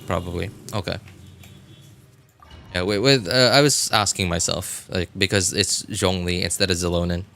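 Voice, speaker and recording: A man's voice: sits low, fairly even intonation, normal speed, creaky, bright, forceful tone. A man livestreaming a game, chatting casually with viewers and thinking aloud in a rambling way. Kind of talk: casual gaming stream chat with viewers.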